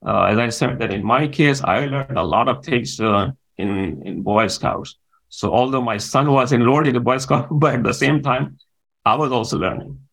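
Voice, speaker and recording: A man in his forties with a gravelly, creaky voice. His is Urdu, and he answers interview questions at a middling pitch, pausing often on "uh". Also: fairly bright tone, low-quality recording. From Pakistan. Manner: hesitant, with frequent "uh" fillers. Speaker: guest.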